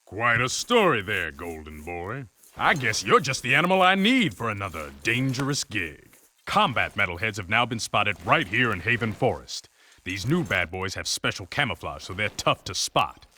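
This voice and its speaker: An actor playing a gruff, sardonic commander handing out missions in a game cutscene, dry and authoritative. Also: male voice, lowish voice, very animated, normal speed, bright, forceful tone, full character voice. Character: gruff, sardonic military-style mission boss. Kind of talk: video game cutscene acting.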